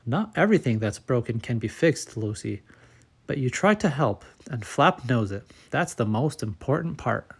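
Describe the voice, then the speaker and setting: A man voicing a gentle, consoling father in a children's storybook, speaking softly and tenderly. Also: medium pitch, animated, normal speed, creaky, slightly rough. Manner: soft, tender, reassuring.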